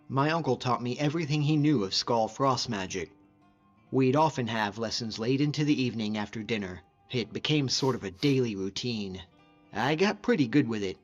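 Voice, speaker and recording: A man's voice: mid-range voice, lo-fi sound, lightly acted. A man voicing a hardy, easygoing hunter and frost mage as a fantasy game companion, talking informally and sincerely in a reflective, plain-spoken way. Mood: confident, casual. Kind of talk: conversational scripted game character dialogue.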